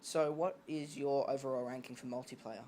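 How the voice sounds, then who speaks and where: A man's voice: mid-range voice, steady tone, brisk, dark tone. A man hosting a casual gaming chat with friends over an online voice call. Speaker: host. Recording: faint noise, online voice chat.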